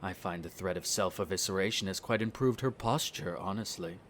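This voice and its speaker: A man's voice: deep voice, brisk, a bit bright, slight background noise. A man playing a smug, cruel vampire noble in an audio roleplay, speaking in a haughty, condescending, formal drawl. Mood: condescending, amused. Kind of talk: scripted audio roleplay acting.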